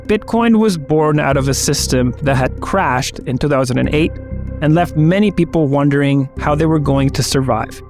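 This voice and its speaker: Higher-pitched male host, measured narration, music clearly under him. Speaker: interviewer and narrator. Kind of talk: scripted documentary narration and interview questions.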